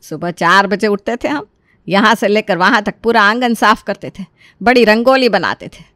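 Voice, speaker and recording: A woman's voice: mid-range voice, animated, moderate pace, fairly bright tone, no echo, studio quality, pitched below the performer's own voice, natural voice. A woman voicing a bossy, sarcastic older mother-in-law who scolds and nags in a dramatised story. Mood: grumbling, scolding.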